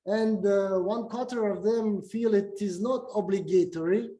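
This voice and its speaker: A man with a Russian accent giving an academic lecture, speaking haltingly with many fillers and restarts. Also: sits high, dark tone. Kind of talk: academic lecture over video call. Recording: echoey video call recording.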